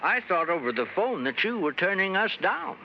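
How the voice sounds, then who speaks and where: Fussy, high-pitched small-town businessman. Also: very animated, normal speed. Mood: flustered. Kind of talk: 1950s radio detective drama acting. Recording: dull, noisy 1950s radio recording.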